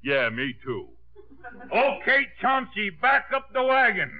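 A man's voice: high-pitched, sing-song, slow pace, faint music behind, heavily acted. An actor playing a tough, sarcastic city policeman in 1950s radio comedy style. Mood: gruffly dismissive. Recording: dull 1950s radio broadcast.

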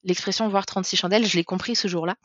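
A woman tells a personal story in a candid, halting way, often restarting her sentences. Her voice sits at an ordinary pitch for a woman. The recording is dry, with a slightly muffled, lo-fi tone. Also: steady tone, fairly bright tone, no room sound. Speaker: guest. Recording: slightly dull, lo-fi sound.

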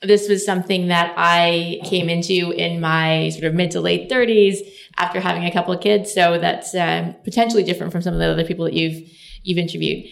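A woman in her forties, hoarse, answering interview questions in a measured, explanatory way. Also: on the low side, fairly even intonation. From Canada. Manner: measured, explanatory, laughs easily. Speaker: guest, startup co-founder.

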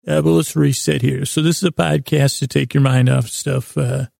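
Very creaky-voiced man rambling, flat pitch. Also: dry recording, studio quality. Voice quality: gravelly, creaky. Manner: meandering, halting, pauses and restates himself mid-thought. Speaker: podcast host. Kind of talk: rambling bedtime monologue to help listeners sleep.

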